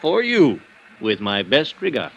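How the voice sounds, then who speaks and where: An American man acting a self-loathing, boozy architect in an old-time radio drama, confessing in a rambling, halting, self-mocking way. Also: medium pitch, huge pitch swings, creaky, muffled recording, heavily acted. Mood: rueful, self-mocking. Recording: old radio recording, faint noise.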